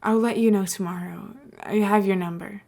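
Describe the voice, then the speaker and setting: A woman's voice: mid-range voice, fairly even intonation, moderate pace, vocal fry, a little mellow. A woman reading the part of a wary, overwhelmed young man in a story, hesitant and informal.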